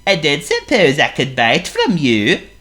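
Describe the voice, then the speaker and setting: A woman's voice: deep voice, wildly swooping pitch, measured pace, a bit bright, pitched above the performer's own voice, exaggerated voice. A woman reading aloud and voicing a polite, simple-minded male seal in a children's storybook, in an earnest, courteous manner.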